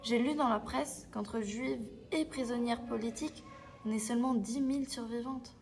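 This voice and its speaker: A female performer playing a gentle, reassuring sixteen-year-old survivor in a French audio drama. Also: fairly high pitch, moderate pace, a little hiss or hum, natural voice. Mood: hesitant, gentle.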